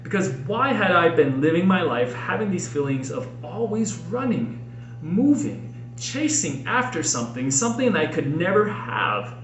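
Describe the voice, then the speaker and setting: A man's voice: a little high, very animated, moderate pace, a bit bright. Earnest man narrating in an echoey room. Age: late 30s. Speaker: author and podcast host reading own book. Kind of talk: expressive audiobook-style personal narration. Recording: roomy echo, faint background noise.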